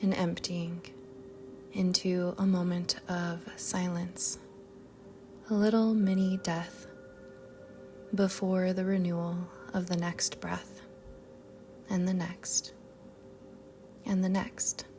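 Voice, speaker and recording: A woman leads a guided meditation in a soft voice that slips toward a whisper at its edges. Her delivery is slow and very even in pitch, gentle and measured, leaving long pauses over a bed of music. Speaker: yoga nidra meditation guide.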